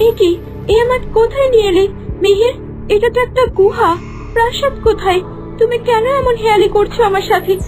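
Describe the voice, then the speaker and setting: A woman's voice: extremely high pitch, brisk. A woman playing a frightened, trusting young woman who speaks plaintively in a fairy-tale cartoon dub. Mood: alarmed, puzzled. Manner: plaintive, pleading. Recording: voice under loud background music.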